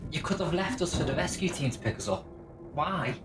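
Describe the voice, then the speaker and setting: A woman playing a very deep-voiced, curious engineer. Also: animated, brisk, recorded from a distance, very noisy. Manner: inquisitive, casual, teasing. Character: curious, teasing young engineer travelling as companion. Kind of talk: scripted audio drama acting.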